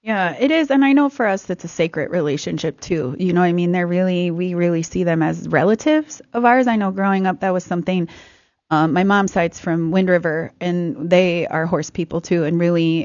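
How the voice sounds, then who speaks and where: A woman hosting a radio interview, asking relaxed, conversational questions. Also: lowish voice, normal speed, no echo, highs cut off. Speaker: radio host.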